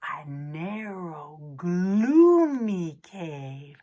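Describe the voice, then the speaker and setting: A woman telling a children's picture-book story in an expressive, theatrical way, drawing out words for young listeners. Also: lowish voice, drawn-out words. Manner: addresses young listeners directly. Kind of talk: animated children's story time, chanted picture-book reading.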